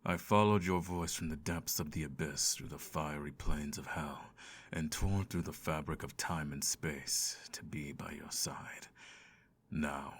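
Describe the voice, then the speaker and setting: Man playing a deep-voiced sardonic incubus. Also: measured pace, breathy edge, exaggerated voice. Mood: grandly solemn. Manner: theatrical, sighing, dry sarcasm. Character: exasperated male incubus, sardonic, seductive lust demon. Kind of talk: ASMR roleplay monologue.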